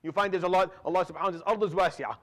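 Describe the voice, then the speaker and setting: A man in his thirties who grew up in Syria delivers a relaxed religious lecture at a brisk clip. His voice sits a little high, and he restarts phrases often, dropping Arabic fillers between English sentences and reciting verses rapidly. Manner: conversational, frequent restarts and repeated words, drops Arabic fillers; recites Quranic Arabic quickly. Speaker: imam giving Quran commentary.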